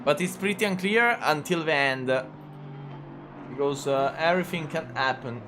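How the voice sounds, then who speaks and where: A man's voice: a little high, noisy background. A man with an Italian accent, whose first language is Italian, commentating a sim race casually, hesitant with many fillers. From Italy. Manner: halting, full of "uh" fillers and restarts; chatty, laughs often. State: injured shoulder. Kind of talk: live sim racing commentary.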